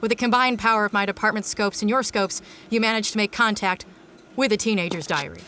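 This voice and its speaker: Actress as indignant scientist, noisy background. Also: female voice, medium pitch, a little fast, close to an everyday voice. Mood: sarcastic. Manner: indignant, cutting. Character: angry, scornful female research scientist. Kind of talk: audio drama acting.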